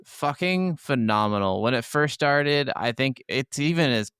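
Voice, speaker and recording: A man's voice: mid-range voice, animated, unhurried, a bit bright, smooth, no echo, studio quality. A man co-hosting a gaming podcast, chatting casually and enthusiastically with plenty of informal swearing. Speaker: podcast co-host.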